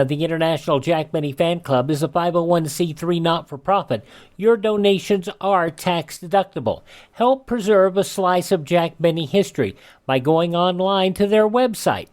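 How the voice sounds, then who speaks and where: A man's voice: high voice, darkish tone, no echo. An American man reading scripted announcements as the host of a radio show.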